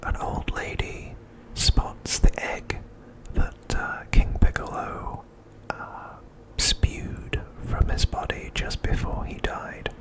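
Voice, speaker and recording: A man narrates in a steady ASMR whisper, soft and unvoiced. His delivery is slow and deliberate, leaving pauses between phrases as he reads out summaries. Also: low-quality recording. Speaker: host of an ASMR channel.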